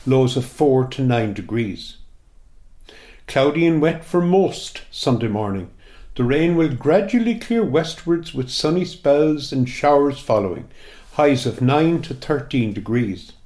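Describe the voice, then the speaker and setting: A man's voice: medium pitch, lively intonation, unhurried, dark tone. An Irish man reading a scripted weather forecast for community radio. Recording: roomy, echoing sound.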